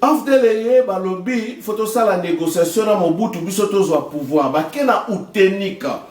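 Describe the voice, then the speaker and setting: A man's voice: high-pitched, moderate pace, echoey room, recorded from a distance. A Congolese man giving political commentary, explaining with proverbs and addressing the listener as "maman". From Congo (DRC). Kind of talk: political talk show commentary.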